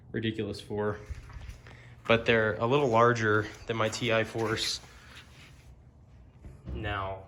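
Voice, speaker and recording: A man giving a casual hands-on product walkthrough in a plain-spoken, somewhat hesitant way. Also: fairly low pitch. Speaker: amateur motorcycle reviewer. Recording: clear steady background noise.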